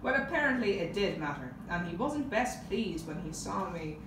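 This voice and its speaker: Unrepentant thieving cook, acted by a young woman, colloquial and lively, distant noisy recording. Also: mid-range voice, normal speed, pitched below the performer's own voice. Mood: cheeky, defiant. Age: young adult. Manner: confessional, colloquial. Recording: echoey room, distant camera, background noise.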